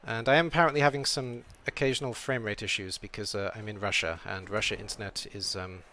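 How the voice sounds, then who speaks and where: A young British man from Wales with a slight European tilt to his accent, chatting casually while he streams a game, reading chat and thinking out loud. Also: on the low side, steady tone, fast. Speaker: streamer playing a video game. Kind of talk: casual live-stream commentary.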